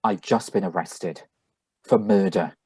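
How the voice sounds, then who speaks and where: A man playing an earnest, worried husband with a husky voice in a live radio thriller reading. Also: low voice, a little slow, warm tone, no echo, heavily acted. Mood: worried, pleading. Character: Bill, earnest worried husband wrongly arrested for murder.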